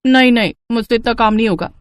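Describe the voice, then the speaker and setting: A woman's voice: on the high side, quick pace, dry recording, natural voice. A woman voicing a lazy, whining, defiant young daughter-in-law in a cartoon family story. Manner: whiny, complaining.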